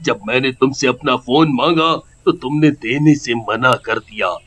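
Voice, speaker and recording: A man voicing a vengeful male ghost in a cartoon story, talking slowly and threateningly. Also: a bit bright. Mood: accusing. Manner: slow, low and threatening. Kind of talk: cartoon voice acting.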